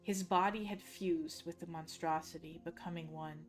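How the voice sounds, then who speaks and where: A Dutch woman, a native Dutch speaker, reading game lore aloud as a hushed, measured, suspenseful story. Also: on the low side, fairly even intonation, soft background music. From Netherlands.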